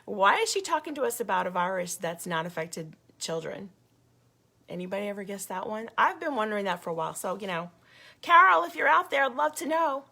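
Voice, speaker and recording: A woman hosting a casual livestream with a hoarse, failing voice, talking indignantly to her viewers. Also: mid-range voice, very animated, fairly quick. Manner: indignant, gets louder when riled up. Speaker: activist livestream host.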